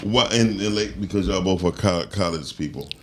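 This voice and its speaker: A man's voice: sits low, fairly bright tone, slight background noise. Stammering man in his forties. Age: 40s. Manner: halting, stammering restarts. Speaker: co-host. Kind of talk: casual podcast chat.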